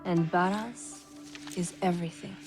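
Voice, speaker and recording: An actress playing a composed noblewoman of feudal Japan who teaches in a formal, measured way in a video game cutscene. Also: on the low side, gravelly, music in the background. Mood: solemn, instructive.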